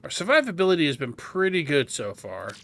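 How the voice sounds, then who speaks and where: Bright-voiced man doing game commentary. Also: a little high, huge pitch swings, brisk, creaky. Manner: explanatory, thinking aloud. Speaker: gameplay guide host. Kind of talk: casual game walkthrough commentary.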